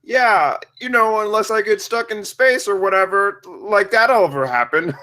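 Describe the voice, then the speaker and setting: A man acting a casual, sheepish father in his fifties at an animated comedy table read. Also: high voice, measured pace. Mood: flippant. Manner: casual, hesitant, brushing off bad news.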